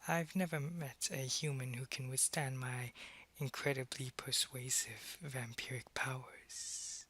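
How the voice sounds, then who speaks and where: A man playing a suave, possessive, centuries-old vampire in an ASMR roleplay, taunting and condescending, often in a breathy half-whisper. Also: medium pitch, steady tone, sharp tone, exaggerated voice. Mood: taunting, smug. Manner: slow, deliberate, taunting, condescending.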